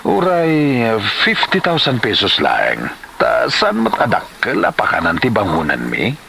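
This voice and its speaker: A man with an Ilocano accent playing a scheming, smooth-talking gambler husband in a comedy drama, with an animated, exclamatory delivery. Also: medium pitch, measured pace, background noise, full character voice. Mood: coaxing.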